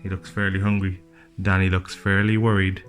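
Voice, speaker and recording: Low-voiced dad reading a picture book. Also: male voice, level tone, moderate pace. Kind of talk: storybook read-aloud with casual asides. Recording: music playing behind the voice. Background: plucked guitar music.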